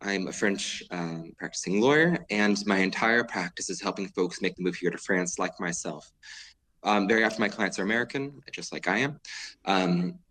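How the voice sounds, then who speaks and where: A man's voice: sits low, normal speed, bright, forceful tone, low-quality recording. A man in his thirties from California, a guest attorney explaining things in an articulate, easygoing way on a podcast. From San Diego, California, US. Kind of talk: podcast interview.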